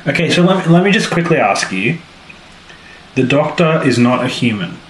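A man's voice: on the high side, animated, moderate pace, a bit bright. A man co-hosting a podcast, asking curious questions and joking in casual conversation. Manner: quizzical, asks lots of questions, joking. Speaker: podcast co-host. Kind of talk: casual chat. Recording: roomy sound with faint background noise.

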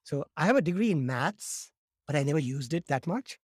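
A man in his fifties, raised in India, telling his story as a podcast guest in a lively way, often acting out quotes and repeating words as he starts. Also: a little high, brisk, husky, no echo. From born in Morocco, raised in India. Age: 50s. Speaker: guest, laughter yoga teacher.

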